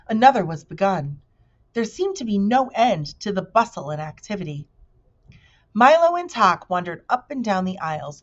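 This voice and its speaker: A woman reading a children's story aloud expressively, like a teacher addressing pupils, in a dull recording. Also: medium pitch.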